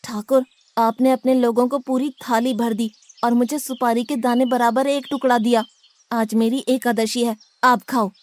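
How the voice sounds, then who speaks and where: A woman's voice: fairly high pitch, flat delivery, normal speed, close to an everyday voice. A woman voicing a greedy, simple-hearted male Brahmin cook in a cartoon, with a blunt, complaining way of talking. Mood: sulky. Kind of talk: Hindi cartoon moral-story acting.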